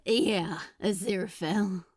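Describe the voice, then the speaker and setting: A woman's voice: mid-range voice, breathy edge, no room sound, studio quality, voice pushed down. A woman voicing a hesitant male demon in an audiobook story, stammering and struggling to confess something tender. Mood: wry, pleased. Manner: halting, stammering.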